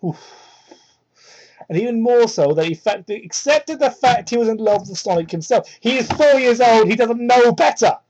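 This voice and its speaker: A woman's voice: expressive, normal speed, darkish tone, husky. Irreverent woman reading, muffled mic. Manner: irreverent, sweary, breaks off to comment. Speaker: amateur fan fiction reader. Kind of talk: dramatic reading with mocking asides. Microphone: cheap microphone. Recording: muffled low-quality microphone.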